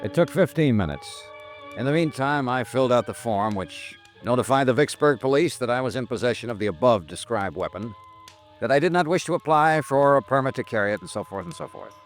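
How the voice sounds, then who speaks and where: A man acting a cool, terse insurance investigator in a 1950s American radio drama, narrating in a clipped, deadpan way. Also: medium pitch, a little fast, close to an everyday voice. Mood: wry, matter-of-fact. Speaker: lead actor and narrator. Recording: old radio recording with music.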